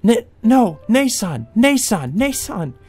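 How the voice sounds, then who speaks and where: A man's voice: sits high, sing-song, takes it slowly, a little mellow, breathy edge, faint music behind, pitched above the performer's own voice, exaggerated voice. A man voicing a grief-stricken young maid in a visual novel, stammering desperate cries for her sister. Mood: frantic.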